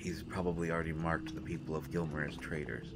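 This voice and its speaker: A man playing a brooding young prince in a fantasy audio drama, speaking to the listener in a halting, earnest, dramatic way. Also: extremely low pitch, fairly even intonation, heavy background noise. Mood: tense, reasoning. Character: brooding young male prince at war with his father. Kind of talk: fantasy roleplay audio acting addressed to the listener. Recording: music behind the voice.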